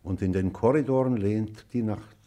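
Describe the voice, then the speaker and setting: An actor playing an old teacher, solemn and exacting, with a measured schoolmasterly manner in a literary drama. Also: male voice, on the low side, dark tone, lightly acted. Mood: solemn, lecturing. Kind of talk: German stage or radio drama acting.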